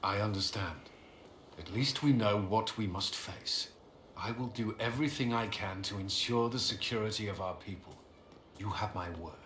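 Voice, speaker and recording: A man's voice: lowish voice, normal speed, a bit bright, echoey room, a little hiss or hum, close to an everyday voice. A man playing an earnest, dutiful military commander in a fantasy video game, formal and resolute. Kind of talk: dramatic video game acting.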